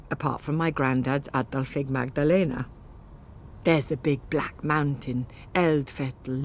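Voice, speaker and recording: A woman's voice: low-pitched, a little hiss or hum. A woman narrating a literary first-person story as a scripted audiobook reading.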